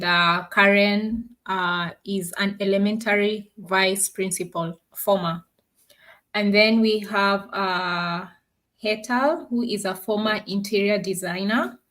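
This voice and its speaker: A woman from Kenya on a webinar panel, speaking in a measured way with many pauses. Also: medium pitch, fairly bright tone.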